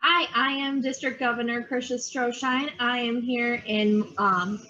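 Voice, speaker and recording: A woman's voice: fairly high pitch, steady tone, unhurried, sharp tone. A woman from the United States, a district governor, introducing herself in a friendly way. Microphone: video call. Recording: dull call audio with faint noise.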